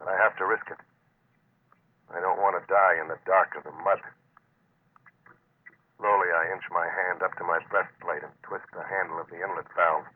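Stubborn deep-sea diver, low pitch, hard-boiled, terse, old radio drama, thin phone-like sound. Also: male voice, steady tone, normal speed, pauses often, slightly rough. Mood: tense, urgent. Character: gritty, stubborn deep-sea salvage diver, grimly determined man. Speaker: lead actor and first-person narrator. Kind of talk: old-time radio suspense acting. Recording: noisy, tinny old radio recording.

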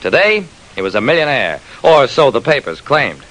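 A man's voice: medium pitch, big pitch swings, normal speed, a bit bright, low-quality recording. A man playing a terse, wry private detective who narrates his own case in an old-time radio drama. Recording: vintage radio recording.

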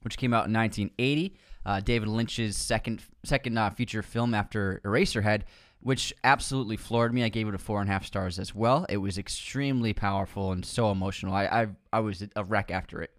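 A young man co-hosting a casual film podcast, chatty and teasing, growing softer when he describes films. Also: lowish voice, a little fast, dry recording, studio quality. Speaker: podcast co-host. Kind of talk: casual chat.

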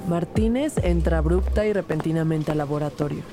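A brisk female police detective played by a woman tells her case in a measured, storytelling way. Her voice sits fairly low, and loud music plays beneath it in this audio drama. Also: close to an everyday voice. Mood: composed, alert. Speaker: narrator and lead actor. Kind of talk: scripted audio drama narration in first person. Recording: loud music bed under voice.

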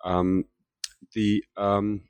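A man's voice: lowish voice, level tone, very slow, darkish tone, smooth, no room sound. A middle-aged man from Finland, a history professor, answering interview questions with many fillers and restarts. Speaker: guest author, history professor.

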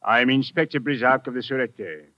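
An actor playing a formal, courteous French police inspector in an old-time radio drama. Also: male voice, mid-range voice, a little fast, close to an everyday voice. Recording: dull vintage radio recording.

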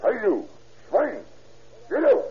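An actor playing a stammering, sly scientist who turns to murder, in an old radio drama. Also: male voice, sits high, wildly swooping pitch, slow, heavily acted. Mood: furious. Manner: stammering, sly, with menacing chuckles. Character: sinister German scientist, middle-aged man, murderous. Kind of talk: 1940s radio acting. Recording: dull old radio recording.

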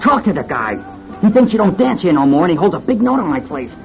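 An actor playing the brash, wheedling owner of a seedy go-go club in a classic radio drama, with slangy, excitable hustler talk. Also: male voice, high-pitched, quick pace, creaky, faint music behind, heavily acted. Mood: anxious, pleading. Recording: muffled old radio drama recording.

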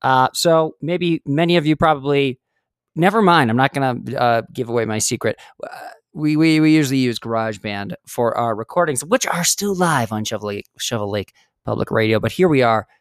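A man's voice: medium pitch, fairly quick, no room sound, clean studio sound. A man hosting his own podcast, talking solo in a chatty, rambling way and often correcting himself mid-sentence. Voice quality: smooth. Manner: chatty, digressive, self-correcting. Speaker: podcast host. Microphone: studio mic.

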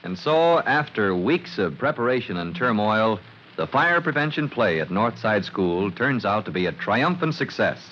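An actor narrating a 1940s radio serial in a measured, suspenseful announcer's style. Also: male voice, fairly low pitch, sing-song, a bit bright. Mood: storytelling. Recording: noisy, dull vintage radio recording.

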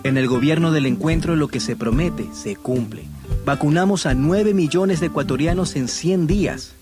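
A man with a slightly high voice narrates a scripted government spot. Guitar music plays clearly behind him. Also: moderate pace. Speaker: advert announcer.

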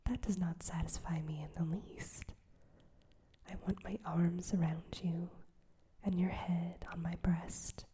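A woman voicing an earnest, lovestruck young man in a soft whisper. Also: lowish voice, fairly even intonation, slow pace, background noise. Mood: pleading. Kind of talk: whispered audiobook reading.

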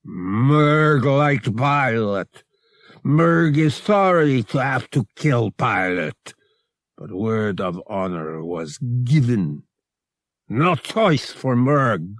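A huge, furry feline alien guard speaks in a grim growl. The words come very slowly, in halting broken phrases, with heavy menace and a close, dry sound. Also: male voice, medium pitch, very animated, no echo, voice pushed up. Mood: regretful, grim. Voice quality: growling, snarling. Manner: slow, halting broken speech in third person. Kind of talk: audiobook character voice.

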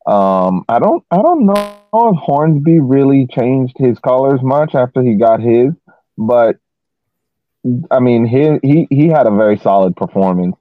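A man co-hosting a sports podcast over a remote call, giving casual analysis with halting, repeated words. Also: mid-range voice, expressive, dry recording. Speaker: podcast co-host. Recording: tinny phone-like call line, dry.

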